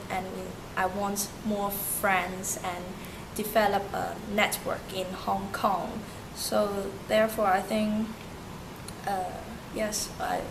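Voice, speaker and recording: A young woman from Turkey, a native Turkish speaker, answering interview questions in halting English with many fillers and restarts. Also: medium pitch. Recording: noisy amateur recording.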